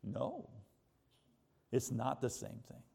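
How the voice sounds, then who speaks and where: A man's voice: medium pitch, huge pitch swings, takes it slowly, vocal fry, darkish tone, breathy edge. An American man giving a sermon in a conversational way, asking rhetorical questions and repeating points for emphasis. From United States. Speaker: preacher.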